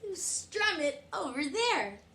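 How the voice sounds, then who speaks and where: A woman doing a bright, kindly zebra. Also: high-pitched, big pitch swings, slow pace, reverberant, voice pushed up, full character voice. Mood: encouraging.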